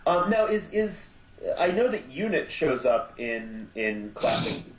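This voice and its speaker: A man appearing as a guest on a podcast, speaking casually and haltingly with lots of ums. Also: a little high, very animated, roomy sound, rough recording. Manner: halting, many ums, easygoing. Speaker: guest, audio drama producer. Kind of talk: casual chat.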